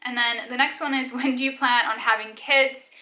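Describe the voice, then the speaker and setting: A woman's voice: fairly high pitch, fairly even intonation, moderate pace, sharp tone, echoey room, low-quality recording. A woman in her twenties from South Africa, with an accent mixing South African, Australian and American, chatting casually through a Q&A vlog and filling it with "like.". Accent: mixed South African, Australian and American. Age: 20s. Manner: chatty, many "like" fillers, frequent light laughs. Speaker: vlogger.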